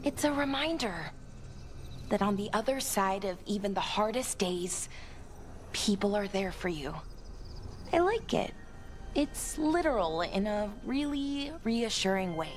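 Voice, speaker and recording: Young elven heroine for a fantasy game, played by a woman: earnest, wistful, reflective, slightly halting, scored with music. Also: medium pitch, expressive, close to an everyday voice. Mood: earnest, lightly wry. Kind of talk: video game dialogue acting. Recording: music under the voice.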